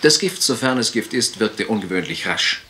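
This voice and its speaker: A man's voice: on the low side, animated, moderate pace, bright, forceful tone. A man playing a sober, formal country doctor in a radio crime drama, speaking precisely and clinically. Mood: grave, precise.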